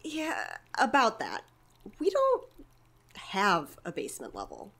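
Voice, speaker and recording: A woman's voice: mid-range voice, huge pitch swings, slow, vocal fry, fairly bright tone, exaggerated voice. A woman playing an evasive, chatty community center manager in a naturalistic audio drama, hesitant yet earnestly explanatory. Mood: hesitant, sheepish.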